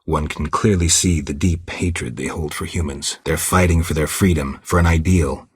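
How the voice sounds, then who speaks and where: A man playing a stoic, world-weary monster hunter in a video game, terse and dry, with a low, gravelly, husky voice. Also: moderate pace, no echo, exaggerated voice. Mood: somber, reflective. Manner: terse, restrained, dry delivery. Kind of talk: video game character dialogue.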